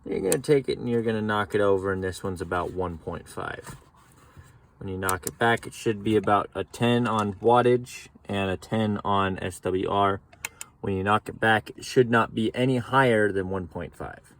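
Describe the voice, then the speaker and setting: A man giving a relaxed, folksy how-to walkthrough from inside a truck. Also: lowish voice, moderate pace, a little hiss or hum. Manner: relaxed, folksy explaining with casual slang. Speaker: mechanic demonstrating CB radio setup. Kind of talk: casual how-to narration.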